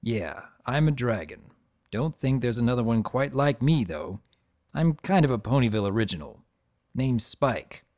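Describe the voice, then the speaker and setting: A man acts out an easygoing adult dragon telling his tale in a storybook reading. The delivery is conversational and gently wry, full of musing asides. The pitch sits mid-range and moves animatedly. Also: lively intonation, moderate pace, dry recording, clean studio sound, lightly acted. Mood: friendly, amused. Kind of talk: first-person dramatic reading of fan fiction.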